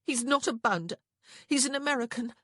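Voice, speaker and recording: A woman's voice: high voice, lively intonation, fairly quick, fairly bright tone, no room sound, voice pushed up. A woman voicing a spirited, defiant upper-class young woman in an audiobook, with a pointed, dramatic manner. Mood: bitter, defiant.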